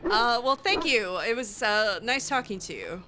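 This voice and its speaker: A woman's voice: a little high, big pitch swings, a little slow. A woman role-playing her character in a video game, improvising her replies in casual, hesitant, conversational phrases. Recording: faint background noise.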